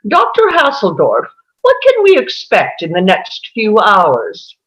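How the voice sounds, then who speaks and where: A woman reading the part of a television news anchor at a table read, with a bright broadcaster's delivery. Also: mid-range voice, huge pitch swings, a little slow, rough recording. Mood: announcing.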